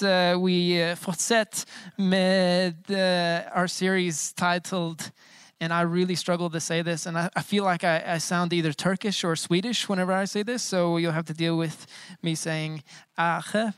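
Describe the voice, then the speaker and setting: A man's voice: high voice, level tone, a little slow, fairly bright tone, clean tone, no echo. A man in his thirties, a pastor giving a casual, joking sermon with many filler sounds. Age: 30s. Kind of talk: informal bilingual sermon.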